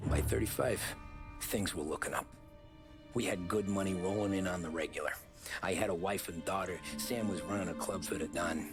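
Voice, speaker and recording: Male, low register, actor as world-weary 1930s mobster narrating his past; plain-spoken game drama, music underneath. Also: lightly acted. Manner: plain-spoken, matter-of-fact, slangy. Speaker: narrating lead character. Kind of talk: dramatic video game acting. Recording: music behind the voice.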